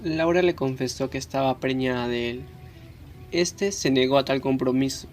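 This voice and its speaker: A man's voice: mid-range voice, moderate pace, faint music behind. A man reading scripted narration for a radio novel. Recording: faint background noise.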